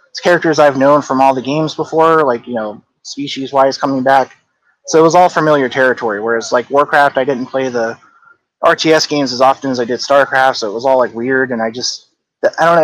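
A man's voice: mid-range voice, poor audio. Reflective man in his thirties, guesting. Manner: reflective, hedging with "like" and "I don't know". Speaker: guest, game company co-founder. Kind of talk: casual interview chat.

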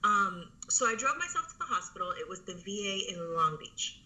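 A woman in her thirties tells her story as an interview guest, her voice strikingly bright and edged. She talks loosely, full of "um" and "like" and false starts. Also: medium pitch, very animated, brisk, low-quality recording. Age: 30s. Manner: rambling, many fillers and restarts. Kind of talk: casual conversational storytelling.

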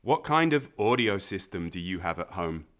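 A man's voice: low-pitched, big pitch swings, moderate pace, a bit bright. A man asking scripted questions in an English lesson podcast.

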